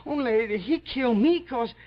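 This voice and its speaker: An actor in a vintage American radio drama playing a frightened, uneducated young man who pleads haltingly in broken, repetitive dialect. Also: mid-range voice, close to an everyday voice. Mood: anxious pleading. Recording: muffled old radio drama recording.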